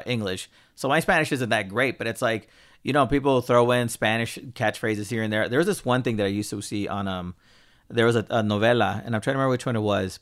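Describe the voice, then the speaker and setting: An American man hosting a casual interview, telling stories in an animated, loose, sweary way. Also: lowish voice. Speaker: podcast host and interviewer. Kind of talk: casual chat.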